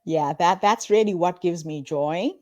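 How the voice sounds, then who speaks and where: Woman in her 50s from zimbabwe, lowish voice, dry close sound, earnest and upbeat. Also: measured pace, no room sound. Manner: earnest, upbeat storytelling with warm laughs. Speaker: guest, founder of a women's story platform. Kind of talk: conversational interview answers.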